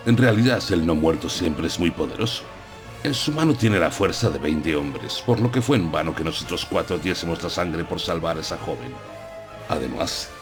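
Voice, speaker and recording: A man playing a grave, resolute old professor and vampire hunter in a gothic audio drama, speaking formally and deliberately. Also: low voice.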